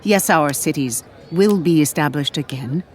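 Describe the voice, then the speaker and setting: A woman voicing an aged, cheerful, mischievous historian with authority, her voice a little cracked and weary, telling her tale in measured, oratorical phrases. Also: low voice, a faint crowd, a little hiss or hum. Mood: solemn, exhortatory.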